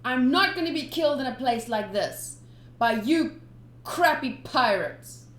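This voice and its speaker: Woman doing a scrappy boy cook, high and jumpy, roomy echo. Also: animated, unhurried, slight background noise. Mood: angry. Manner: hot-headed, shouting threats. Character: defiant young boy ship's cook.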